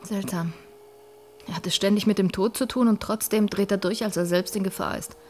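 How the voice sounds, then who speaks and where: An actress playing a headstrong female investigator in a radio drama, brisk, assertive and wry. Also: medium pitch, fairly even intonation, darkish tone, slight background noise, close to an everyday voice. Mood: irritated, brisk.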